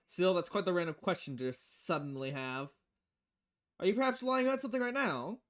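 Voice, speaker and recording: A woman's voice: on the low side, expressive, a little fast, pitched above the performer's own voice, natural voice. A woman voicing a polite, chatty young female bartender in a visual novel, with a courteous lilt. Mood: taken aback.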